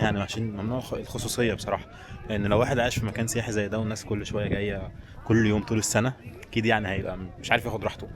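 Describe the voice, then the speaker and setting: A man with an Egyptian Arabic accent and a slightly low voice talks very quickly, in the chatty, informal way of a travel vlogger. He addresses his viewers directly while out walking, with people's voices audible around him. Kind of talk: casual walking vlog chat, talking to viewers. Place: mostly outdoors, walking through a tourist village. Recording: outdoor tourist spot with crowd noise.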